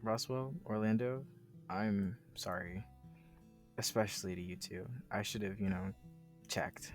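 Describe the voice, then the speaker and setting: A man's voice: on the low side, steady tone, measured pace. A man reading visual novel narration and dialogue aloud in a casual way, with slangy reactions. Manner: informal, slangy asides. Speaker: co-reader in a visual novel let's play. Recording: faint music behind the voice. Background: faint guitar music.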